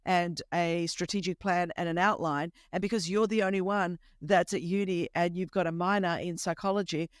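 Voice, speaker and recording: A woman in her fifties with an Australian accent whose first language is Greek, telling a lively, humorous personal story as a podcast host. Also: fairly low pitch, moderate pace, hardly any pauses, no echo, clean studio sound. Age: 50s. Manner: animated, emphatic, humorous storyteller. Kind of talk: conversational testimony storytelling.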